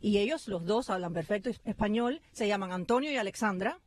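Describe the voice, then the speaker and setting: A woman's voice: mid-range voice, lively intonation, fairly quick, no echo. A woman from Cuba hosting a radio interview, chatting conversationally and reading out listener comments. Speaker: radio host.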